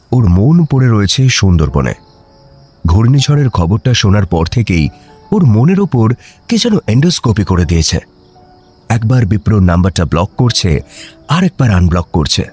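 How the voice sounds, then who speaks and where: A man's voice: on the low side, very animated, moderate pace, pauses often, darkish tone, faint music behind. A man narrating a scripted audio story, playfully addressing listeners directly.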